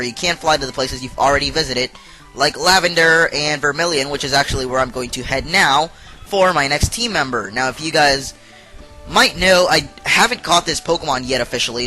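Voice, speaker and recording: A man's voice: on the high side, bright, forceful tone, low-quality recording. A young man who is sick and has partly lost his voice, chatting casually with many fillers as he plays through a game. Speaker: let's play host.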